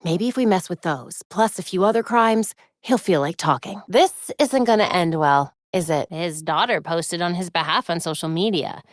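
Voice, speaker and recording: A woman voicing a young American superheroine in a video game, sharp, determined and dryly witty. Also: fairly low pitch, normal speed, few pauses, dry recording, lightly acted. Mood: focused, serious. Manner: dry, wry asides.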